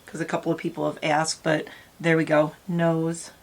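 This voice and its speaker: A middle-aged woman with a slightly raspy morning voice, chatting and rambling to her viewers while doing her makeup. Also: low-pitched, monotone, normal speed. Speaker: beauty vlogger. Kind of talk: casual makeup tutorial chat.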